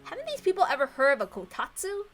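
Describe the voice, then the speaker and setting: Casting: female story reader, fairly high and bright, scripted narration with chatty asides, faint music behind. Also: huge pitch swings, fast talker. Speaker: narrator and reader of a visual novel.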